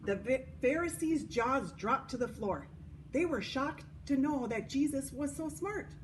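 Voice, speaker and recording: A woman hosting a children's story time at a church, reading and explaining in a deliberate way for young listeners. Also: high voice, animated. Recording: distant microphone, noticeable background noise.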